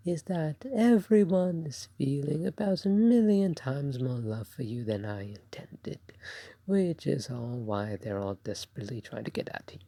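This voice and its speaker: A woman playing a bashful, doting god of love in an ASMR roleplay, speaking softly and breathily with a hesitant, tender, playful manner. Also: deep voice, no echo, heavily acted. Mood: apologetic, earnest. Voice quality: soft, breathy, partly whispered. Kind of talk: ASMR roleplay spoken to the listener.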